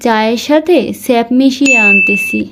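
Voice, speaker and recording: A woman's voice: fairly high pitch, moderate pace, a bit bright, close to an everyday voice. A woman voicing a cheeky, mischievous young grandchild in a comic cartoon skit, teasing with mock politeness and innocence. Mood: sly, teasing. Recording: noisy amateur recording with clicks.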